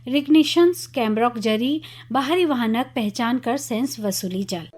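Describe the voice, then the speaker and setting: A woman with a Kumaoni accent reads scripted regional news for radio. Her voice sits on the high side as she delivers each item as a newsreader. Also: measured pace, a little mellow, slightly rough.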